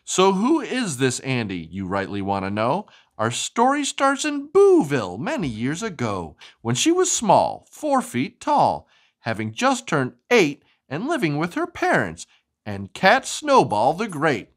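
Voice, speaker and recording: Very animated, low-pitched woman reading storybooks. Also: a little slow. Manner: animated, sing-song, asks the child questions. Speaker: host reading storybooks to a child. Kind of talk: expressive children's storybook read-aloud with chat.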